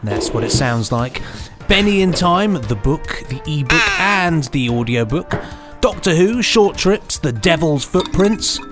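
A man's voice: a little high, very animated, measured pace, music in the background. A British man co-hosting a podcast in a playful, mock-grand presenting style with bantering asides. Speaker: podcast co-host.

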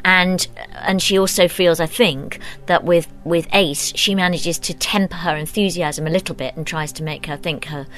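A woman's voice: fairly low pitch, fairly even intonation, normal speed, few pauses, a bit bright. A British woman, an actress, talking thoughtfully and discursively in a behind-the-scenes interview.

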